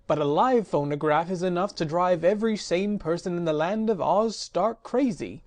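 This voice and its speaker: A crooked old magician close to panic, voiced by a woman. He cries out loud and hard, wailing in despair, his voice pushed and strained in a storybook reading. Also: lowish voice, expressive, normal speed, no room sound, close to an everyday voice. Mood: resentful.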